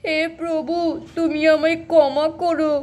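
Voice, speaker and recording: A woman voicing a fearful, whining old father-in-law in a doll cartoon, wailing and pleading with drawn-out cries. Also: high voice, little pitch movement, a little mellow. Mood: tearful, remorseful. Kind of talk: doll-play cartoon acting.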